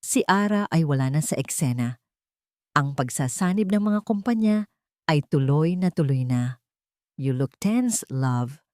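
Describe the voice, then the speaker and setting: A woman narrating a scripted romantic drama story in short, measured, dramatic phrases. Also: low voice, expressive, a little mellow, no echo, studio quality.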